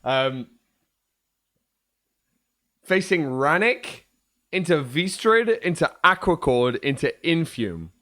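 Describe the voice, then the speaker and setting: A young man with a hoarse voice, chatting casually and joking on a livestream. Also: on the high side, very animated, takes it slowly, long pauses, pushed and bright. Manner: joking, trash-talking, swears a lot. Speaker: streamer.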